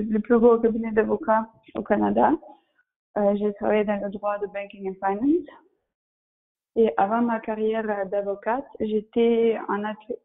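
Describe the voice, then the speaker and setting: A woman's voice: mid-range voice, vocal fry, phone-quality sound. A woman in her thirties with a Russian accent answers interview questions in French as a second language, often searching for words. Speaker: interview guest. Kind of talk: casual interview answers about career and work.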